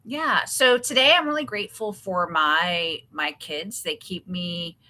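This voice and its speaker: A woman in her forties answering interview questions as a career strategist, relaxed and conversational. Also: lowish voice, unhurried.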